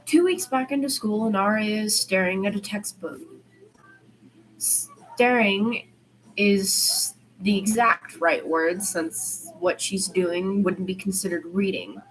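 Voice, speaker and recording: A woman's voice: medium pitch, slow pace, slight background noise, low-quality recording. A woman reading a story aloud in an informal way, stumbling over words now and then and correcting herself. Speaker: amateur fan fiction podfic reader.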